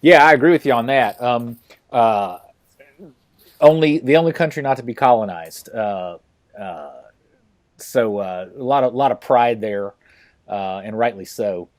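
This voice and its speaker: A man hosting a podcast interview, talking casually and haltingly with many fillers and restarts. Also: medium pitch, expressive. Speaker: podcast host.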